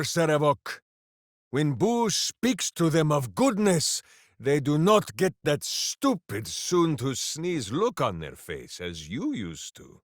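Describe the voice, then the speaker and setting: A hulking, earnest hero who speaks of himself in third person. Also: male voice, mid-range voice, dry recording, studio quality. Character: hulking earnest male ranger warrior with a pet hamster. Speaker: video game character. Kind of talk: game voice lines.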